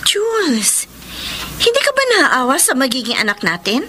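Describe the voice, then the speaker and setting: An actress playing a scheming, clingy pregnant young mistress in a radio drama, insistent and melodramatic, with a Filipino accent. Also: female voice, high voice, very animated, normal speed, sharp tone, a little hiss or hum, full character voice. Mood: pleading.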